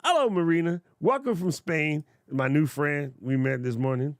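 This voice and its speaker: A man's voice: fairly high pitch, moderate pace, no echo. Playful chatty man on a close studio mic. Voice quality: loud voice. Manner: playful, jokey, chatty with viewers. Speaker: tech reviewer livestream host. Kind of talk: casual livestream product demo. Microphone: studio broadcast mic up close.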